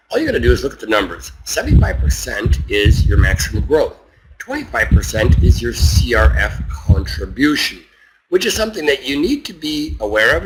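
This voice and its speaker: A man giving an informal, instructional presentation on a conference call, explaining step by step and repeating points for emphasis. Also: on the low side. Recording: noisy conference call with clicks.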